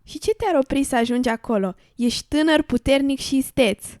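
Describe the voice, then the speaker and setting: Woman playing a highish, hopeful little frog. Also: a little mellow, no echo, clean studio sound, close to an everyday voice. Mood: encouraging. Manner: coaxing, reassuring. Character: encouraging female little frog, hopeful believer in magic. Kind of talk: educational radio drama acting.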